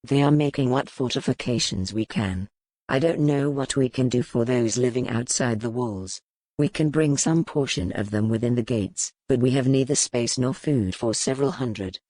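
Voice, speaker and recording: A man's voice: medium pitch, a little mellow, dry recording, natural voice. A man playing a grim, loyal medieval man-at-arms in an audiobook, formal and sober as he addresses his lord. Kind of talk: dramatized audiobook dialogue.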